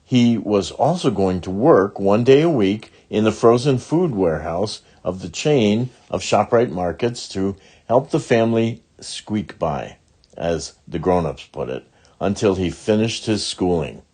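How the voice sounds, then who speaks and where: A man reading a children's chapter book aloud in an expressive storytelling style. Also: fairly low pitch, measured pace, slightly rough. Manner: acts out character dialogue. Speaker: audiobook reader.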